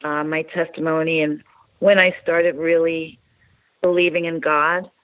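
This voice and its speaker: A woman's voice: low voice, little pitch movement, measured pace, no echo. A woman from Long Island, New York, shares her faith story as a radio guest, rambling and hesitating over her words. Her voice comes through muffled and lo-fi, with a dry, close sound. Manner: rambling, hesitant, many fillers. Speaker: interview guest. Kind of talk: telling a personal faith story.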